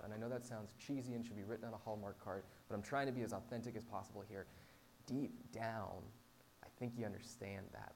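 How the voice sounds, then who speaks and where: A man's voice: on the low side, poor audio. A man preaching in an informal, conversational style as a pastor, with a self-deprecating, humorous manner. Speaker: pastor preaching to a small church. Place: live talk to a small congregation. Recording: clear background noise with hum.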